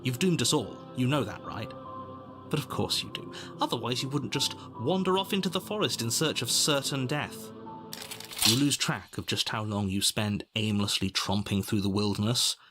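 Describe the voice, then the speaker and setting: A man's voice: medium pitch, normal speed, natural voice. An actor playing an exasperated, sardonic narrator in a video game, wry, theatrical and scolding in his delivery. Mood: scolding, irritated. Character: exasperated, sardonic male narrator urging the hero onward. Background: music.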